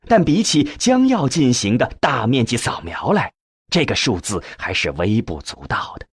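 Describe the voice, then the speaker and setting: A man reading a novel as a scripted narration, with measured, expressive storytelling and a husky voice. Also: medium pitch, no room sound, clean studio sound. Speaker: audiobook narrator.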